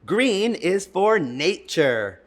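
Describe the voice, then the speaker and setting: A storytime co-host reading and explaining to young children in an expressive way, stretching words for emphasis and speaking directly to the listeners. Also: medium pitch, drawn-out words.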